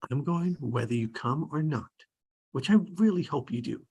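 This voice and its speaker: A performer playing an earnest, determined but hesitant teenage boy, with a husky, breathy voice. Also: mid-range voice, animated, moderate pace, a little mellow. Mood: hushed, determined.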